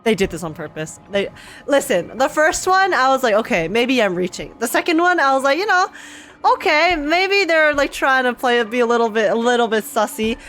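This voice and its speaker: A woman streamer giving casual live reactions to a game in an excitable, playful, exclamatory way. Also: sits high, brisk. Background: faint game music.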